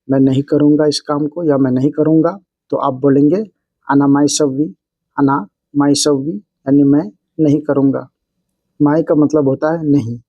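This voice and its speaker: Male language tutor, soft mellow tone, slightly high register, explains and repeats examples step by step. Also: no room sound, muffled recording. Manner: repetitive, explanatory, phrase-by-phrase delivery. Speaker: language teacher and video host. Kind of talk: instructional lesson with repeated example phrases.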